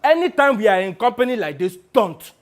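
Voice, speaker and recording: A man playing a brash, wheedling, money-minded talent agent with a Nigerian accent in a dramatic monologue, animated and full of exclamations. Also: high voice, fairly quick, voice pushed up. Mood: explaining, showy. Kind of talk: one-person dramatic monologue.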